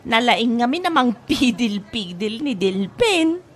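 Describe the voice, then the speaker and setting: A woman's voice: fairly high pitch, very animated, slow pace, some chatter behind. A woman playing a coy, playful unmarried market vendor in an Ilocano radio comedy drama, with an Ilocano accent. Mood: giddy. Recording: music behind the voice.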